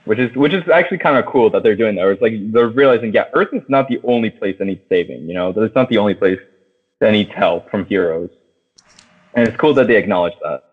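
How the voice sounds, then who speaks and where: A man hosting a casual film chat, talking in slangy, profane language and steering the discussion like an excited fan. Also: lowish voice, quick pace, breathy edge. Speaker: podcast host. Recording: tinny phone-like sound.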